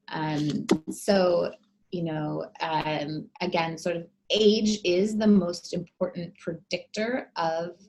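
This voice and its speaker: A woman fertility doctor giving an educational talk, explaining clearly with frequent fillers. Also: fairly low pitch, darkish tone, poor audio.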